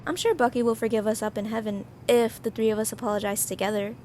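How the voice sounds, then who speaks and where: A woman's voice: a little high, level tone, quick pace, background noise, natural voice. A woman playing a jealous, unhinged teenage class president in an anime fan dub, with a taunting, theatrical delivery. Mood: scornful, impatient.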